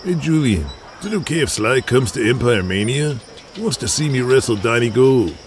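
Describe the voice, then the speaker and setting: A man's voice: mid-range voice, animated, moderate pace, a little hiss or hum, close to an everyday voice. A man playing a big, friendly, deferential star wrestler, keen for approval, in an audio drama. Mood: eager. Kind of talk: audio drama character acting.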